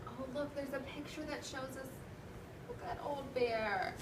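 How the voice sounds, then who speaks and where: A woman's voice: sits high, steady tone, unhurried. A woman teacher reading a storybook aloud to a class, expressively, with instructive, questioning talk. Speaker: elementary school teacher. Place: indoor classroom. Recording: echoey noisy room, distant mic.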